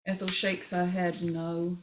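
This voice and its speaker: A woman reads a screenplay aloud as its narrator. Her voice is on the low side, and she delivers scene headings and action lines in a scripted storytelling style. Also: little pitch movement, takes it slowly, a little hiss or hum, low-quality recording. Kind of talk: scripted narration, reading stage directions.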